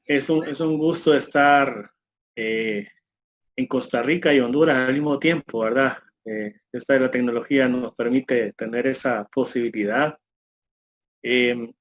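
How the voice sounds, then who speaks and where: A man in his late thirties with a Salvadoran accent gives a spontaneous personal testimony, halting and repeating words as he goes. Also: medium pitch. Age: late 30s. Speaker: guest speaker giving a testimony.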